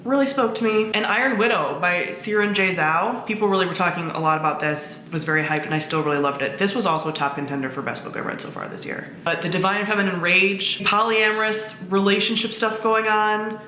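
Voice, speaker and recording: A woman's voice: medium pitch, brisk, few pauses, pushed and bright. A woman chatting casually as a video host, gushing and informal in her enthusiasm. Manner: gushing, informal, mild swearing. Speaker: book reviewer, video host. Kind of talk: casual chat to camera. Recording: echoey room, faint background noise.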